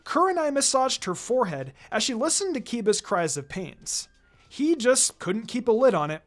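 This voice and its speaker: A narrator reading fan fiction aloud in an expressive, scripted storytelling style. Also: medium pitch, normal speed. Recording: music behind the voice. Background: background music.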